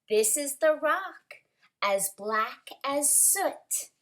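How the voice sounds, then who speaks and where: A woman reading a picture book aloud to young children in an expressive, deliberate way, with drawn-out words and little asides to the listeners. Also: fairly high pitch. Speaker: storytime reader, teacher.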